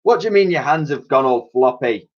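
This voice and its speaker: Man putting on a loud pompous security chief. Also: expressive, fairly quick, close to an everyday voice. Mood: exasperated. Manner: pompous, booming, bossy. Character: pompous, booming male museum head of security. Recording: dull lo-fi recording.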